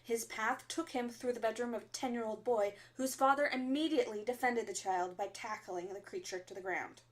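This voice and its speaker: A woman's fairly high voice delivers formal underground radio bulletins as an acted role. She is composed and stern, measuring each phrase like an official announcer in a sci-fi radio drama. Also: brisk, close to an everyday voice. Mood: formal, controlled.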